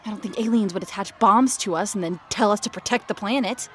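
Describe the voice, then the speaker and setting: A woman's voice: mid-range voice, fast talker, a little hiss or hum, lightly acted. A woman playing a skeptical, tough-talking teenage girl with a blunt manner in an audio drama.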